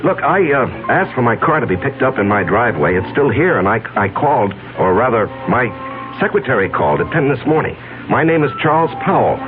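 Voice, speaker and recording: An actor playing a scheming, nervous businessman with a halting, evasive manner in a 1970s radio drama. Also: medium pitch, sing-song, over loud music, natural voice. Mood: tense, businesslike. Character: scheming, nervous businessman covering up his misdeeds. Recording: noisy, tinny old radio broadcast with hum.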